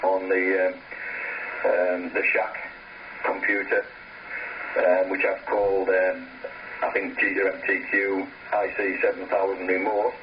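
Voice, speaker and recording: A low-pitched man chats casually over amateur radio, hesitating as he goes. The sound is muffled and distant, with plenty of radio noise around his voice. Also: completely flat delivery, measured pace. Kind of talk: casual ham radio contact. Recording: noisy, muffled, distant radio transceiver audio. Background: radio static noise.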